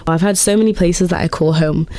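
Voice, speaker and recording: A woman's voice: on the low side, a little fast, mellow, no room sound. A young woman with an English accent talking informally and candidly as an interview guest, musing as she goes. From England, raised in Doncaster. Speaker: guest, musician. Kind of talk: reflective, informal interview talk.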